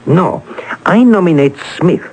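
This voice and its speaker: An actor playing a wry, persuasive raconteur in old radio style, dry and smooth-talking, with a gravelly voice. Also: male voice, fairly high pitch, lively intonation, a little slow, a little mellow, poor audio. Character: wry, talkative male raconteur, persuasive and amused. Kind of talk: old-time radio acting. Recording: vintage radio broadcast.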